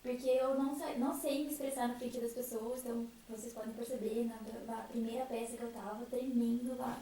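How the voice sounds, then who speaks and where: A woman's voice: on the high side, fairly even intonation, fairly quick, mellow. A shy, nervous young Brazilian woman sharing her own experience casually in a group. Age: young adult. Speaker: student member of a theatre group. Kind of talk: casual personal testimony in a group. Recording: very echoey room, distant mic, faint noise.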